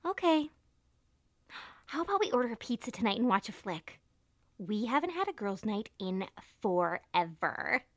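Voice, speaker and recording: A woman's voice: on the high side, big pitch swings, normal speed, no room sound. A woman playing a chatty, breezy young best friend, casual, bubbly and reassuring.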